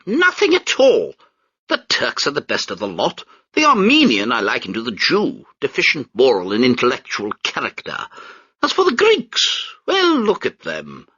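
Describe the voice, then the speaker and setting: A man's voice: a little high, bright, forceful tone, breathy edge, no room sound, dull audio, pitched above the performer's own voice, full character voice. A man voicing a pompous upper-class marine major who speaks in a haughty, clipped drawl.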